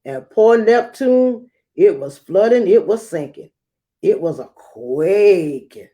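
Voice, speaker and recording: A woman reading a rhyming children's picture book aloud, acting it out with theatrical emphasis and dramatic pauses. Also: mid-range voice, expressive, warm tone. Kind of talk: expressive rhyming storybook read-aloud.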